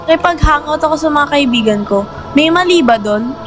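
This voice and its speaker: A woman's voice: high-pitched, animated, normal speed, music in the background. A woman playing a troubled, defensive schoolgirl in an amateur radio drama. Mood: irritated. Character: troubled schoolgirl Sheila, snappy and defensive with family.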